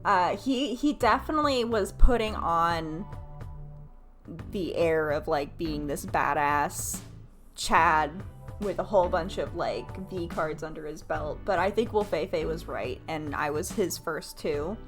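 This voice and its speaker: A woman's voice: fairly low pitch, a little slow, faint music behind. A woman in her late twenties telling stories casually to livestream viewers, candid and self-mocking, with crude humor and free swearing. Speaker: game streamer.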